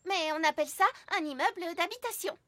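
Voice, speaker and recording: Very high-pitched little fairy-world child. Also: female voice, brisk, pushed and bright, no echo. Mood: chatty, curious. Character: small, excitable fairy and elf children, eager and innocent. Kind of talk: children's cartoon dubbing in French. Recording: music behind the voice.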